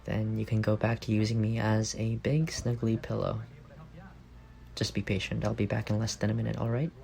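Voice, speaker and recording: Male actor as tender, playful roommate secretly in love, intimate ASMR roleplay; low register with plenty of fry. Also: level tone, moderate pace. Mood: soft, caring. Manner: playful, gently teasing, tender. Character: affectionate, teasing young roommate quietly in love.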